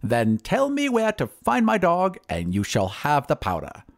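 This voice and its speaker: A man's voice: fairly high pitch, huge pitch swings, unhurried, voice pushed up, full character voice. A man voicing an anxious, earnest wizard of modest means in a storybook reading, with a plaintive manner. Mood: earnest, bargaining.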